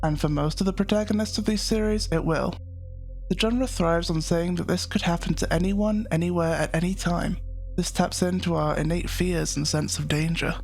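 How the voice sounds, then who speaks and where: Creaky, high-pitched man narrating an essay. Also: fairly even intonation, moderate pace, a little mellow, soft background music. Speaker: video essayist, host. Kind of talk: scripted analytical narration.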